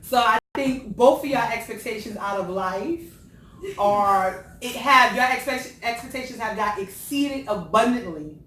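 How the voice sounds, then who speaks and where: A woman's voice: on the high side, measured pace, a bit bright, far from the mic. A woman hosting a casual chat show, teasing her guest and asking easygoing questions. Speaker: host. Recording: echoey room, off-mic, light noise.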